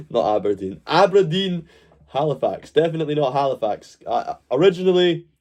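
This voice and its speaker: A man from Glasgow riffing on a live stream in a mellow, dark-toned voice. He rambles and jokes, with swearing sprinkled through his chat. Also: fairly high pitch, animated, moderate pace. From Glasgow, Scotland. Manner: rambling, joking, swears freely. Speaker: livestream host. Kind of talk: casual live-stream commentary.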